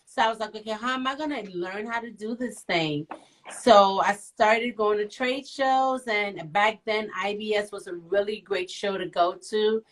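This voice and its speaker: Middle-aged woman from Liberia, guest storyteller, playful and teasing, mid-pitched, swings up high when excited. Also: normal speed. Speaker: guest, nail professional and author. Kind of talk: casual interview.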